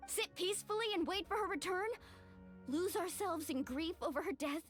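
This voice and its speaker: A woman's voice: very high voice, animated, moderate pace. A woman playing a passionate, loyal young warrior in an English video game, with an earnest, emotional delivery. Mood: anguished, accusing. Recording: music under the voice.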